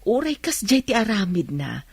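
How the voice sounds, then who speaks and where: A woman playing a kind, patient middle-aged village mother in a radio drama, with a Filipino Ilocano accent and a measured, gently advising manner. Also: mid-range voice, sing-song, slightly rough, dry recording.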